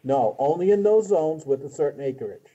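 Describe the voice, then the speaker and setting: Middle aged guy explaining stuff on a video call, very mellow dark voice, halting, dull lo-fi sound. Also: fairly high pitch, lively intonation, a little hiss or hum. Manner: halting, trailing off. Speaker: city planning official. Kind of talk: explaining zoning at a meeting. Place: small office with hard ceiling, indoors.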